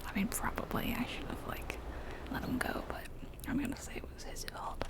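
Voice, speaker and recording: A woman whispering her way through a game in a chatty, rambling ASMR style. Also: moderate pace. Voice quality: breathy unvoiced whisper. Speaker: ASMR gaming creator. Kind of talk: whispered ASMR gameplay commentary.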